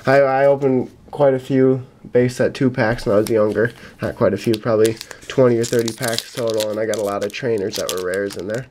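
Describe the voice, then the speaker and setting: Low-key young man, slightly raspy, faint noise. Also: fairly even intonation, fairly quick, hardly any pauses, a little mellow. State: getting over a cold. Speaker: YouTube host opening trading card packs. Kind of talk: casual unboxing commentary. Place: indoors at a table in a home room. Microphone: camera mic at about arm's length. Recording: noisy room, card and wrapper crinkling. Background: crinkling packs, clicks, faint noise.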